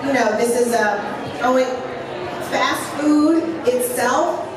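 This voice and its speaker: A woman's voice: high voice, slow. A woman speaking as a panelist, explaining her views earnestly and often checking in with "right?". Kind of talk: panel discussion. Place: large echoey event hall. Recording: roomy hall audio, distant mic, crowd noise.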